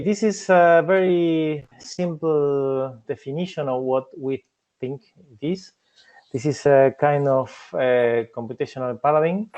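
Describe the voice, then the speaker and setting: Argentine guy presenting, lots of uhs and restarts, slightly high voice. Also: warm tone. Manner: halting, many fillers and restarts. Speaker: cybersecurity researcher presenting a talk. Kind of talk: conference presentation.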